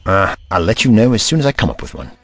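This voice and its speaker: A man playing an eccentric, brainy time traveller in an audio drama, kindly but testy, musing aloud in a wordy, gently pedantic manner. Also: on the low side, sing-song, quick pace. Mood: wary, musing.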